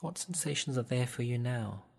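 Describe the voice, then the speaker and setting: A man guiding a meditation in a gentle, soothing, measured way, leaving long pauses between instructions. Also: mid-range voice, animated, vocal fry. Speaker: meditation guide. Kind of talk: guided body scan meditation.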